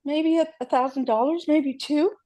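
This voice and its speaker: A woman's voice: sits high, steady tone, normal speed, warm tone, lightly acted. A woman acting a mother in her early seventies, hesitant and pleading, in a script table read. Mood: fearful, pleading. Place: video call.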